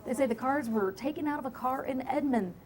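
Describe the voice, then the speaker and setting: A woman news anchor reading a scripted evening newscast in a measured, clear way. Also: high voice, a loud crowd.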